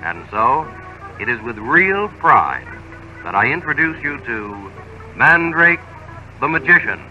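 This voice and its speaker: A male radio announcer giving an earnest, formal sponsor pitch and then narrating the scene. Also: sing-song, takes it slowly, over music. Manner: earnest, persuasive, formal delivery. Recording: dull old radio recording.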